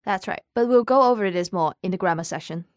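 A woman teaching vocabulary in a scripted language lesson, repeating each word slowly and carefully. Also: medium pitch, no room sound, clean studio sound. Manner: slow, careful repetition of words.

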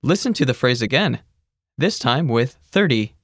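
Measured narrator of a language course. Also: medium pitch, no room sound, studio quality. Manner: even, measured teacherly instructions. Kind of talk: scripted instructional narration.

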